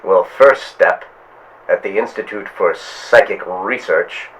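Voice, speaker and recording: A man hosting and narrating a pulp radio serial with portentous delivery and deliberate pauses. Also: sits low, fairly bright tone, slight background noise, rough recording. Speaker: host and narrator.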